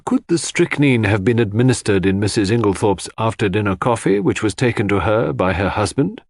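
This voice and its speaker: Brisk, probing coroner voiced by a man, animated. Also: on the low side, creaky, dry recording, clean studio sound. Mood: probing, formal. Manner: formal, brisk, inquisitive. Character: brisk, probing male coroner questioning witnesses.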